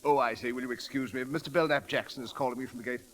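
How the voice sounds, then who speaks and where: A man's voice: medium pitch, steady tone, rapid-fire, a bit bright, lightly acted. Very proper English manservant, played by a man. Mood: polite, flustered. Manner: formal, hesitant, courteous. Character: proper, polite English manservant mistaken for a colonel. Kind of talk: old-time radio comedy acting.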